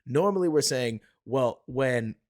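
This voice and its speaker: A man hosting a casual sports podcast, talking excitedly and building his case with rhetorical questions. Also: mid-range voice, a little slow. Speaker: podcast host. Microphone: home podcast mic.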